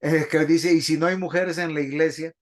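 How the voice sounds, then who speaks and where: A man's voice: a little high, steady tone, moderate pace. A man teaching a Bible class in a church, preaching and putting questions to the congregation. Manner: didactic, asks the congregation questions.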